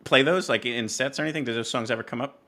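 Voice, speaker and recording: A man's voice: mid-range voice, quick pace, bright, forceful tone. A man hosting a podcast, asking questions in a casual, conversational interview style with tentative, hedging phrasing. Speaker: podcast host interviewing a musician.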